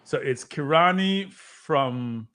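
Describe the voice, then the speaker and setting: A man's voice: fairly high pitch, expressive, takes it slowly, a bit bright. A man hosting a watchalong, giving casual live sports commentary. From Jamaica.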